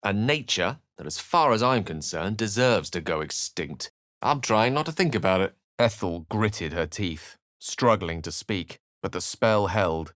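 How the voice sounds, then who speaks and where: A deep-voiced, eloquent wizard adventurer. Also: male voice, lively intonation, measured pace, a bit bright, dry recording, studio quality. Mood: wry. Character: learned, dramatic male adventurer wizard, wry and eloquent. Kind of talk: scripted video game character lines and narration.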